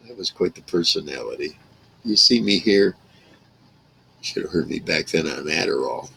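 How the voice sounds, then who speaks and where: A man speaks in an extremely low, creaky and husky voice. He rambles casually to camera, talking in halting, broken phrases like an informal vlog. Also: lively intonation, sharp tone, rough recording. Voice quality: gravelly, rough. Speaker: vlogger reminiscing about a radio hobby. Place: small wood-paneled room indoors.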